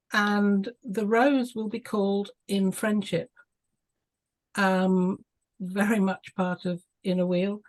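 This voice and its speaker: A woman's voice: medium pitch, darkish tone, poor audio. A woman talking casually on a video call, chatty and full of ums and small laughs. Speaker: club member on a video call.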